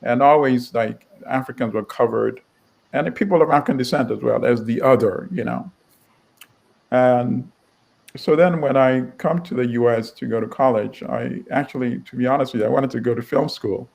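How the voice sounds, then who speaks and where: Man from Uganda, middle-aged, gravelly, wry storyteller, restarts often. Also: medium pitch, moderate pace, darkish tone, poor audio. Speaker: publisher, interview guest. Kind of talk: storytelling interview answer.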